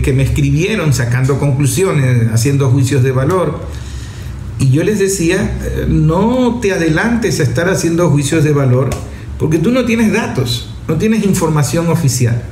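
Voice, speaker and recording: Brief: male Venezuelan radio host, slightly high-pitched, didactic commentary, audible noise behind. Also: a little mellow. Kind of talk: explanatory radio commentary addressed to listeners. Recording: roomy echo, background noise.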